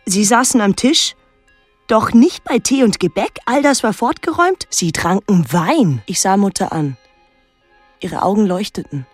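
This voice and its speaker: An actress playing a wary, withdrawn teenage daughter who narrates her island story in a measured, introspective radio drama style. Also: mid-range voice, lively intonation, husky, no echo, faint music behind. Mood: reflective.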